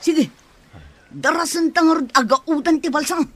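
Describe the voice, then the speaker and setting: A woman's voice: high-pitched, normal speed, lightly acted. A woman playing a caring, cautious older sister in an audio drama, concerned and giving directions. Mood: concerned, directing.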